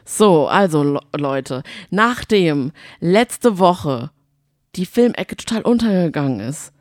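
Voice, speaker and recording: A woman's voice: fairly low pitch, expressive, slow pace, no room sound, studio quality. A woman co-hosting a casual podcast, chatty and teasing in manner. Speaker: podcast co-host.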